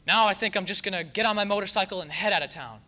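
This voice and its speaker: A young man playing a lovable drifter in a radio soap on stage, halting as he improvises. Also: sits high, pushed and bright. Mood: swaggering. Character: gruff but lovable drifter, improvised by flustered radio actor. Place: theater stage.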